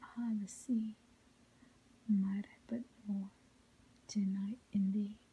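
A woman softly reading poetry in a breathy whisper, ASMR style, with a measured, melodic recitation. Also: mid-range voice, little pitch movement, muffled recording. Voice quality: soft unvoiced whisper, breathy.

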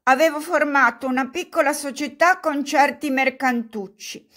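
A woman reading aloud, voicing a fawning old male buffoon who talks loudly and effusively. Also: sits high, moderate pace, pitched above the performer's own voice. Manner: loud, effusive, self-mocking clowning.

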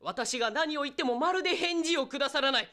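A woman's voice: high voice, expressive, fast, sharp tone. A woman playing an earnest, fervent envoy in a radio drama, speaking formally and theatrically. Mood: troubled reporting. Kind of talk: radio drama acting, formal diplomatic speech.

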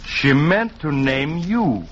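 An actor playing a shrewd, vain Belgian detective with a French-speaking Belgian accent, theatrical and self-satisfied, in a 1940s radio drama style. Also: male voice, on the high side, animated, takes it slowly. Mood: smug. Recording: muffled, hissy 1940s radio recording.

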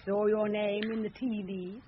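A woman's voice: mid-range voice, level tone, measured pace, close to an everyday voice. An actress playing a jaded, hard-drinking, scornful woman in a radio drama. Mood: scornful, dismissive. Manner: sardonic, dismissive. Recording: muffled phone-like old radio recording, faint noise.

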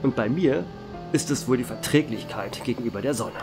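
A man voices a centuries-old vampire barkeeper who tells his story calmly and with dry humor. His mid-pitched voice rises and falls freely, and clear background music runs under it. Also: brisk, close to an everyday voice. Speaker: narrator and bar owner. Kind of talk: fan audio drama narration.

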